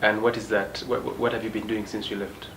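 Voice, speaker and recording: A man's voice: fairly low pitch, flat delivery, quick pace, fairly bright tone. A man hosting a TV interview show, asking probing follow-up questions. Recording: echoey studio with background noise.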